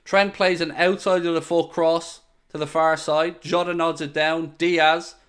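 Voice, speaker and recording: A man hosting a live football watchalong stream, calling each pass and joking with the chat. His voice is smooth and clear and sits a little high for a man. He talks excitably and speaks straight to his viewers. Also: measured pace. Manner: excitable, jokey, addresses viewers directly. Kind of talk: live match commentary.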